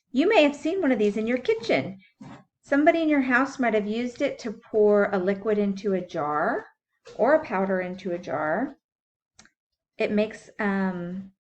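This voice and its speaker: A woman's voice: mid-range voice, muffled recording, slight background noise. A woman teacher reading a science book aloud for young children, explaining things simply and putting questions to her listeners. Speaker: school teacher.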